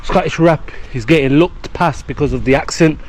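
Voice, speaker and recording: A man's voice: on the high side, normal speed, a crowd in the background. A man with a Birmingham accent, interviewing someone on the street with short, curious follow-up questions. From Birmingham, England. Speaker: travel vlogger interviewing a local. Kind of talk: casual interview. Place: outdoors on city streets.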